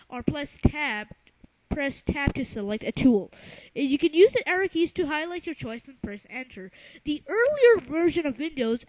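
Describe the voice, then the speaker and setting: High-pitched, halting tutorial presenter. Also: a little mellow, rough recording. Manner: halting, with stumbles and self-corrections. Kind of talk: informal narrated software lesson.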